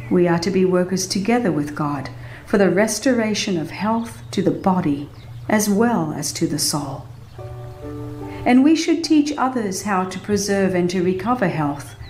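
A woman reading a religious book as a dramatized audiobook, with an earnest, measured and formal delivery. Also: medium pitch, a little mellow. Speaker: audiobook narrator. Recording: roomy reverb, faint background music. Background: faint music.

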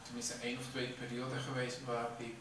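A middle-aged man, an artist answering interview questions in a halting way, searching for words. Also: mid-range voice, monotone, fairly bright tone. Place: indoor art gallery with bare floor. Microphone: camera a few metres away. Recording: echoey room, distant mic, clear background noise.

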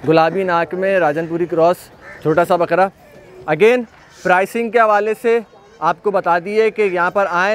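A man hosting an on-site walkthrough of livestock, chatting casually and repeating praise often. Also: high-pitched. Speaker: livestock video host. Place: outdoor goat pen at a farm.

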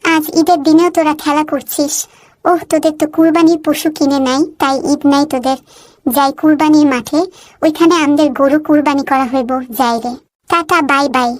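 A woman's voice: sits high, steady tone, moderate pace, no echo, close to an everyday voice. A woman voicing a spoiled, bragging cartoon fox child, haughty and taunting. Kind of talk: Bengali cartoon dialogue.

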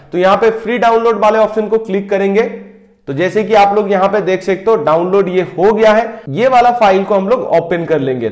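A man in his thirties walking viewers through a software tutorial step by step, speaking directly to them. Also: high-pitched, echoey room. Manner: steady step-by-step explaining, addresses viewers directly. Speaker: tech tutorial host. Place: indoor room, screen-recording overlay.

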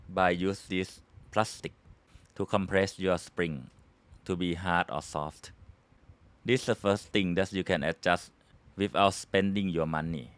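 A man's voice: low-pitched, clear, resonant tone, no echo. A man from Thailand with a Thai accent, hosting his hobby channel and patiently explaining a how-to in simple English. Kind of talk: casual how-to tutorial.

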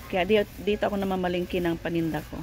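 A woman's voice: lowish voice, steady tone, racing, darkish tone, a little hiss or hum. A woman from the Philippines with a Filipino accent, chatting casually as a shopping vlogger in a large store. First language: Tagalog. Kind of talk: casual shopping vlog chat. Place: large warehouse store, indoors. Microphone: handheld phone camera, held by the speaker.

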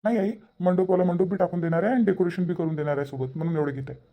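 Guy doing a helpful younger brother, cartoon, varhadi marathi, fast and kinda high. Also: lo-fi sound. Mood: matter-of-fact. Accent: Varhadi (Vidarbha) Marathi. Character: helpful, polite younger brother running errands. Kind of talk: cartoon family drama acting.

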